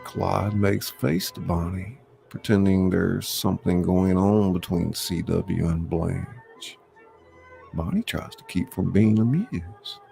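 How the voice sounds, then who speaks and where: A man's voice: sits low, fairly even intonation, slow, creaky, warm tone. A man reading screenplay stage directions aloud as scripted narration. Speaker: narrator, reader. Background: faint music.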